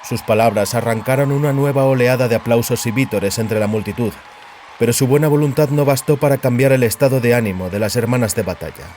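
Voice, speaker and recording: A man's voice: mid-range voice, fairly quick, darkish tone, a little hiss or hum. A man narrating a science fiction audiobook in a scripted, dramatic storytelling style.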